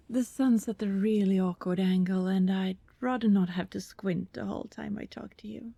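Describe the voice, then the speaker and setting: Casting: actress as eager female job applicant, soft mellow voice, polite, chatty, slightly flustered, dry close recording. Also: medium pitch, steady tone, unhurried, gravelly, breathy edge, dry recording. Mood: polite, nervous. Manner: chatty, hesitant, nervously friendly. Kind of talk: ASMR roleplay acting, conversational.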